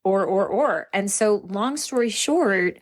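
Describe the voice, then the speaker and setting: A woman's voice: mid-range voice, lively intonation, slow. A woman speaking as an interview guest, sharing in a conversational, earnest and effusive way. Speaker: interview guest, recovery program veteran.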